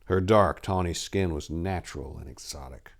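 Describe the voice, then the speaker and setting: A man reads a fantasy tale aloud in a fairly low voice. He delivers it as a dramatic reading, with a theatrical storyteller's manner. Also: moderate pace, vocal fry. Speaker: story narrator.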